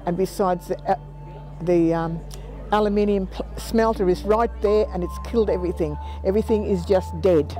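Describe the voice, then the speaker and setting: Older Australian woman, mellow voice. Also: medium pitch, moderate pace, soft background music. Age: 70s. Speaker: community elder interviewee. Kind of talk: reflective interview storytelling. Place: outdoors on a planted patio. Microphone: lapel mic.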